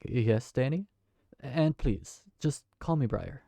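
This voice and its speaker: A man's voice: medium pitch, lively intonation, takes it slowly, gravelly, warm tone, slight background noise. Man playing a polite, flustered young tavern keeper. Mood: earnest. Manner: polite, earnest, slightly flustered. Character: young man who just inherited a magical tavern. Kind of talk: fantasy audio drama acting.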